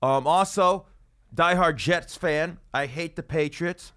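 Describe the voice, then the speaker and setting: A man's voice: a little high, slow, bright, forceful tone, clean tone. A man hosting a podcast from a studio, joking and rambling sarcastically in casual comedic chat. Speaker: podcast host.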